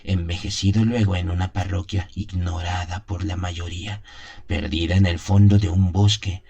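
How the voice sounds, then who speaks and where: A man playing a haunted, wistful seventy-year-old country priest, confessing his past in a deliberate, pause-filled radio drama monologue. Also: deep voice, fairly even intonation, dark tone, highs cut off, natural voice. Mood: confessional, grave. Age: 70s as played. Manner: chuckles, sighs, deliberate storytelling with pauses.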